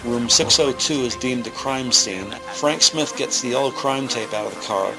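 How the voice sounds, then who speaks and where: A man narrating a scripted crime audio drama in the present tense, measured and descriptive. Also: medium pitch, gravelly, low-quality recording. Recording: faint music behind.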